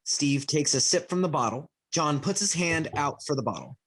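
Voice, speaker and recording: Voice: medium pitch, expressive, normal speed, a bit bright, slightly rough. A narrator reading stage directions in a plain scripted style for a play.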